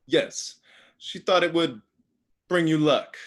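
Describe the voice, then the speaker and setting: A man's voice: on the high side, slow pace, a bit bright. A man playing a sly, scheming brother god with a casual, suggestive manner in a staged play reading. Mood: coaxing.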